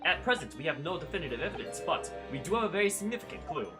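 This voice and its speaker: Earnest game defense lawyer, woman acting, low-voiced, formal, accusing, very animated, music behind. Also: rapid-fire. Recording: music under the voice.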